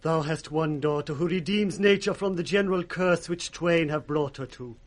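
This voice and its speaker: A British man playing a dutiful, courteous gentleman attendant in a radio Shakespeare drama, formal and earnest. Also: fairly high pitch, moderate pace, natural voice. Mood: grave, courteous. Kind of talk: 1960s radio Shakespeare acting.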